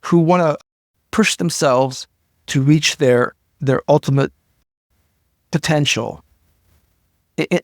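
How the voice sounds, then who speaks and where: Earnest middle-aged guy from iran, mid pitch, stumbles over repeated words, dry mic. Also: no room sound. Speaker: podcast guest, entrepreneur. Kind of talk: casual interview talk.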